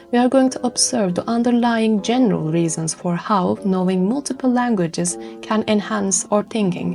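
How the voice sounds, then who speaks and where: A woman's voice: medium pitch, gravelly, dark tone. A woman presenting a scripted educational video essay, speaking earnestly and expressively straight to the listener. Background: background music.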